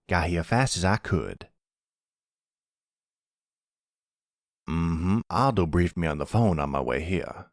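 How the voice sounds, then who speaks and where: A man playing a protective, calm older mafia grandfather in an ASMR roleplay, speaking gently in a folksy way. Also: low-pitched, no echo, clean studio sound, full character voice. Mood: grave, tender. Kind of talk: ASMR boyfriend roleplay acting.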